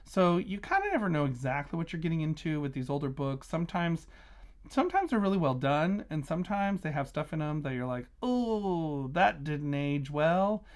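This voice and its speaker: A man's voice: fairly high pitch, animated, normal speed. A man hosting a casual book review vlog, talking conversationally and emphatically, stressing and repeating key words.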